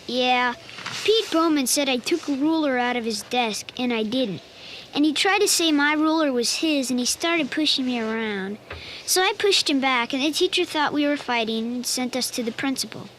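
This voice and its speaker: An earnest schoolboy speaks in a high, hesitant, childlike voice, confiding his worries. There is clear noise behind the voice. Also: normal speed, fairly bright tone. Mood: worried. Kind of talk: family radio drama acting.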